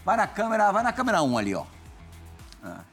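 A man's voice: high voice, huge pitch swings, quick pace, faint music behind. A man hosting a talk show, playful and joking with guests. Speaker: host.

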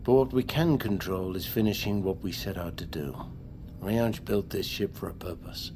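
Low-voiced commanding expedition leader played by a man. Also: background noise, close to an everyday voice. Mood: firm, insistent. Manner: formal, authoritative, measured. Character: commanding, wealthy male expedition leader, controlled and stubborn. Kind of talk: audio drama acting.

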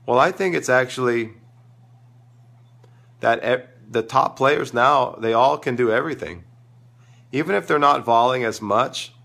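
Guy coaching tennis on a livestream, crackly fry in his voice, losing it from a sore throat, chatty and emphatic. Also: mid-range voice, a bit bright. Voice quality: crackly, gravelly. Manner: emphatic, opinionated, informal. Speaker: tennis coach hosting a live online show. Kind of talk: casual live-stream talk, answering viewer questions.

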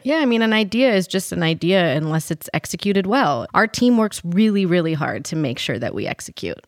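A woman's voice: on the low side, sing-song, fairly quick, dry recording, clean studio sound. A woman co-hosting a podcast, chatting casually and asking questions.

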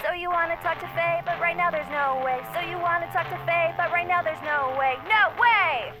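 A woman's voice: extremely high pitch, normal speed. An actress playing a perky woman's voicemail greeting in a sing-song rhyme. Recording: loud music behind voicemail greeting.

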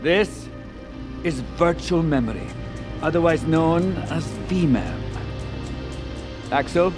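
An actor playing a smooth, commanding male tech visionary who presents like a showman in an audio drama. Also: fairly high pitch, lively intonation, takes it slowly. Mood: controlling, grand. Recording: dramatic music score behind.

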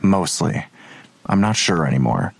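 Gravelly, husky, sardonic male detective. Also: low-pitched, lively intonation, a little slow, no echo, exaggerated voice. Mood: skeptical, impatient. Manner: dry, skeptical, blunt. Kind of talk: naturalistic audio drama acting.

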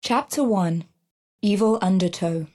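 A woman's voice: medium pitch, measured pace. A woman giving dramatic, scripted narration for an audio drama. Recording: loud music behind the voice. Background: loud music.

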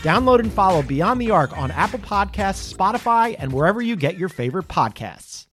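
An American man delivers a scripted podcast promo in a high-pitched voice. Music plays behind him throughout the read. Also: very animated, brisk. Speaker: podcast host.

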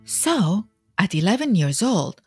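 A woman hosting a storytelling podcast, reading scripted narration for children in an expressive, deliberate way with dramatic pauses. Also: mid-range voice, husky, soft background music.

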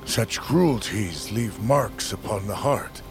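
An actor playing a humble, deferential lowland storyteller serving a young master in a fantasy audio drama, with formal, old-fashioned phrasing. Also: male voice, animated, slow. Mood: gentle, solemn. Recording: music under the voice.

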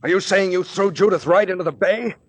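A tough sea captain from a 1940s radio adventure. He is blunt and commanding, with a slightly high, husky voice in a dry vintage recording. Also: steady tone, a little fast, no room sound. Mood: hard, accusing. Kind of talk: 1940s radio drama acting.

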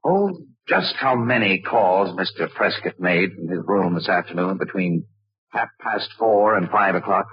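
A man's voice: low-pitched, animated, a little slow, breathy edge, dry recording, full character voice. An American man acting a shrewd, wry newspaper photographer turned sleuth in a 1940s radio crime drama. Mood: probing. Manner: hard-boiled, wry, clipped. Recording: restored old radio, muffled and dry.

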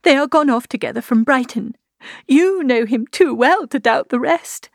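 Woman reading as a distraught young gentlewoman, high-pitched. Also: normal speed, no room sound, studio quality, lightly acted. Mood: distressed. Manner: agitated, breathless, emphatic. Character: distraught young gentlewoman, anguished and self-reproaching.

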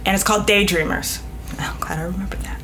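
A woman's voice: mid-range voice, steady tone, fast talker, a bit bright, a little hiss or hum. A woman speaking frankly and conversationally as a podcast guest, stressing points through repetition. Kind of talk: casual interview talk.

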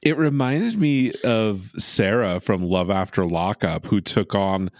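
A man's voice: on the low side, a little slow, no echo, clean studio sound. A man in his thirties co-hosting a podcast, joking sarcastically in casual recap chat from a makeshift home recording space. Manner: sarcastic, joking, swearing, lively swoops into silly voices. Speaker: podcast co-host.

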